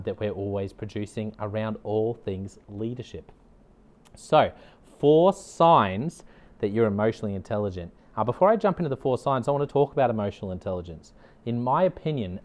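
A man's voice: fairly low pitch, a little mellow. A middle-aged Australian man hosting a leadership podcast, speaking earnestly and upbeat, and repeating key points for emphasis. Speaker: leadership consultant and podcast host. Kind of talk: direct-to-camera motivational talk.

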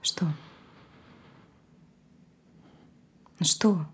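An actress playing a meek, distracted wife in stage dialogue, hesitant, defensive and apologetic. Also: female voice, a little slow, in a whisper, natural voice.

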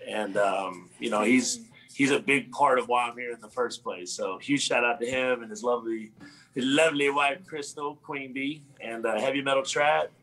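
A man's voice: medium pitch, moderate pace, bright, forceful tone, rough recording. A man from Florida, a musician guest joining over a video call, talking in a laid-back way with easy laughs. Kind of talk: casual interview. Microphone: remote video call.

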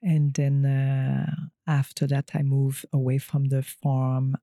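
A woman's voice: very deep voice, little pitch movement, slow, warm tone, no echo, clean studio sound. A woman telling her life story as a podcast guest, searching for words as she goes. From Quebec, Canada. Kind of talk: personal storytelling interview.

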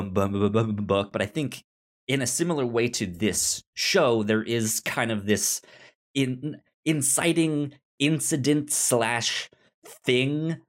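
A man with a pronounced stutter, chatting casually as a podcast co-host about things he recommends. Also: medium pitch. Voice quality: pronounced stutter, repeating sounds and syllables. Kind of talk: casual discussion and recommendations of books and shows.

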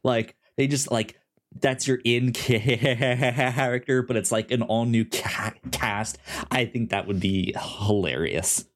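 A podcast co-host chatting casually about TV in a halting way, often repeating words mid-sentence. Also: medium pitch.

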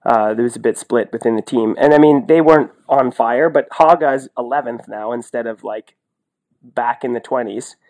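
A man's voice: medium pitch, moderate pace, a little mellow, low-quality recording. A man chatting casually about sports as a podcast co-host, enthusiastic and emphatic, often rambling as he goes. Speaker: podcast co-host and ski racing commentator.

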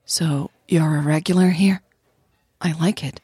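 Woman voicing a low, husky, thoughtful young woman. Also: steady tone, a little slow, mellow, no room sound, clean studio sound, exaggerated voice. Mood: casual, light. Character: young woman, thoughtful, candid, quietly unsettled lover.

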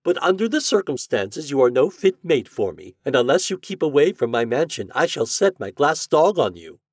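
Man as a storybook young lady, vain, haughty, affectedly sweet, high swooping pitch. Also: very animated, moderate pace, dry recording, clean studio sound. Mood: disdainful.

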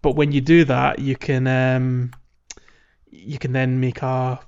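A man co-hosting a gaming podcast, chatting casually and haltingly as he gathers his thoughts. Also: mid-range voice, little pitch movement, darkish tone, smooth, muffled recording. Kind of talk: casual chat about a video game.

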